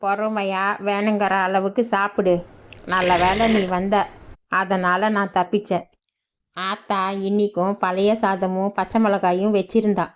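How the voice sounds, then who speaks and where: A woman's voice: medium pitch, little pitch movement, measured pace, clean tone, lightly acted. A woman voicing a lazy, cheeky young village man in a cartoon, with a grumbling, sarcastic manner. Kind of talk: cartoon voice acting.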